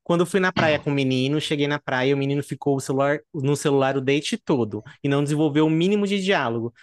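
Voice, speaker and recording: A very quick Brazilian man with a slightly high voice, recorded dry. He co-hosts a casual chat, reading stories out and tossing in slangy, campy asides. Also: dry recording. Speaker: podcast co-host.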